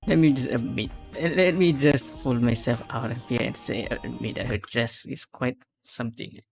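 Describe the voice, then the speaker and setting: A man's voice: medium pitch, fairly even intonation, normal speed, vocal fry. A man giving casual reaction commentary as a video host, rambling and often correcting himself. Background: music.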